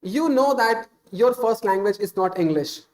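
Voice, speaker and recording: A man's voice: high-pitched, animated, normal speed, darkish tone. Earnest Indian man giving speaking tips. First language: Hindi. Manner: earnest, unscripted, with filler "uh" and self-corrections. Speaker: streamer giving English tips. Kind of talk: casual spoken advice on a stream.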